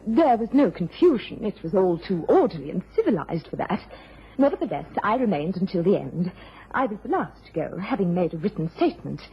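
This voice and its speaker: A woman's voice: medium pitch, very animated, a little fast, a little hiss or hum. A woman playing a polite, composed lady journalist in a period radio drama, speaking formally and earnestly. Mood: earnest recounting. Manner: well-mannered, formal, earnest. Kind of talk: British period radio drama acting and narration. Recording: muffled old radio recording.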